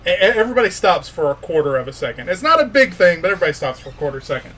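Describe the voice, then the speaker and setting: A man working as game master, narrating a tabletop fantasy game in an informal, halting way with pauses. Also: high voice, big pitch swings, bright, forceful tone, slight background noise.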